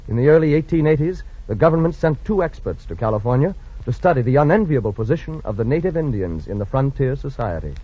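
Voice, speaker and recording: A man's voice: mid-range voice, sing-song, quick pace, few pauses, slightly rough. A man narrating a scripted 1940s radio drama in a dramatic, grandiose, solemn manner. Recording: muffled old radio recording with music.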